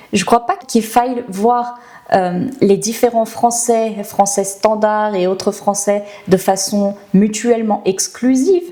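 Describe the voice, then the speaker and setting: A woman from France speaks with her native French accent, at a middle pitch with a warm, mellow timbre. She explains things like a language teacher and keeps putting rhetorical questions to herself before she answers them. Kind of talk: explanatory talk to camera.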